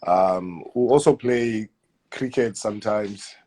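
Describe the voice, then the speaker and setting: Soft-spoken South African man, hesitant. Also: lowish voice, slow pace. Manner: hesitant, repeats words, soft trailing endings. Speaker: guest footballer. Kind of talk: casual interview.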